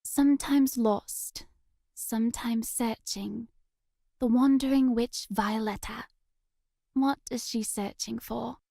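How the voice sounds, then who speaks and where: A woman's voice: fairly high pitch, steady tone, slow pace, gravelly, dark tone, no echo, studio quality, full character voice. A woman voicing a dreamy, curious young wandering witch in a visual novel, with a musing, theatrical delivery.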